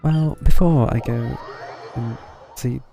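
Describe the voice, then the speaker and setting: A man's voice: mid-range voice, steady tone, slow, creaky, warm tone, faint music behind. A man livestreaming a game, chatting casually in offhand, muttered asides.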